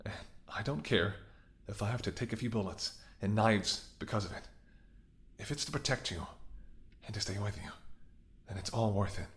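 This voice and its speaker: A man's voice: on the low side, normal speed, a bit bright, close to an everyday voice. A man acting in a romantic audio roleplay as a devoted, stubborn ex-bodyguard, speaking earnestly and intimately with emotional intensity. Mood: impassioned, pleading. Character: devoted gruff ex-bodyguard, fiercely loving, stubborn and protective.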